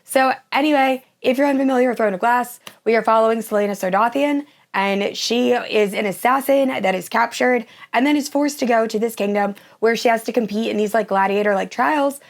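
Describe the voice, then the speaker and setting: A young woman chats through her reading plans with her voice raw and hoarse from a recent flu. She sits a little higher in pitch than most women and gushes about each book with upbeat enthusiasm. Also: fairly quick, few pauses. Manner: upbeat, gushing about books. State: hoarse, voice mostly gone after flu. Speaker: book channel host. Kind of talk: casual book vlog.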